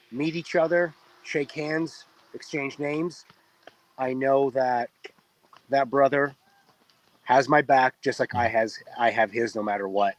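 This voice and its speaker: A man's voice: mid-range voice, no room sound, rough recording. Tired Israeli man, earnest and dry. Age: 40s. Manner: earnest, explanatory, many ums and uhs. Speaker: reserve soldier guest. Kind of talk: interview answers.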